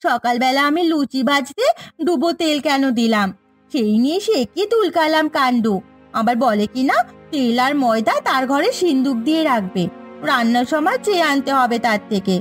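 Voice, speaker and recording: A woman playing a put-upon, exasperated daughter-in-law and housewife in a Bengali cartoon, speaking in a complaining, animated manner. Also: high voice, moderate pace. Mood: indignant complaining. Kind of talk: Bengali moral-story cartoon dubbing. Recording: faint music behind the voice.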